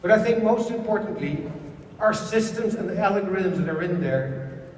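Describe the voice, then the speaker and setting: Guy pitching his startup on stage, sounds far from the mic, faint room hum. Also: animated, moderate pace, a little mellow. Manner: earnest, salesy, occasional stumbles. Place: large event hall. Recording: echoey hall, voice from far off.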